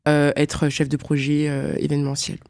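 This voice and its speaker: A woman's voice: low-pitched, monotone, normal speed, a little mellow, dry recording, studio quality. A young woman student answering interview questions in a casual, hesitant way, full of fillers and restarts. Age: young adult.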